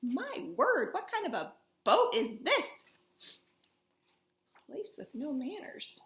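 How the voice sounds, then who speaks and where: A woman reads a children's storybook aloud in a theatrical way. Her voice is very high and swings widely for dramatic emphasis. The room adds a noticeable echo. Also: sing-song, takes it slowly, a bit bright. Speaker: storybook reader.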